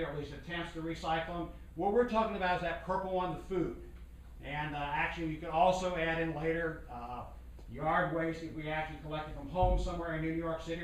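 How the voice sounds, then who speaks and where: A man's voice: a little high, far from the mic, a little hiss or hum. Man presenting in an echoey meeting room. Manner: plain and conversational, repeats "again" and "uh". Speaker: community presenter. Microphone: room mic from across the room.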